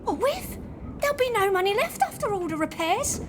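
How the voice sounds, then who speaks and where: A woman playing a ruthless, vain, sharp-tongued schemer in an audio play. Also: very high voice, sing-song, background noise. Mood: irritated, scheming. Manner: brisk, sharp-tongued, dismissive.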